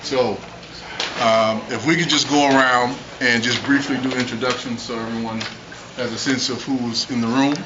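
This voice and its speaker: Halting male panel moderator, noisy room. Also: mid-range voice, fairly even intonation. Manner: halting, many filler "uh"s. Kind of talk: casual discussion opening. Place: meeting room. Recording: dull noisy recording.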